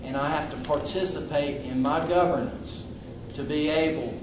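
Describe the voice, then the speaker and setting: A man speaking loudly and bluntly to a public meeting, with frequent restarts. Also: a little high, steady tone, moderate pace, far from the mic. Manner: loud, outspoken, with frequent restarts. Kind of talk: impromptu public address. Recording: echoey room recorded from afar, noisy.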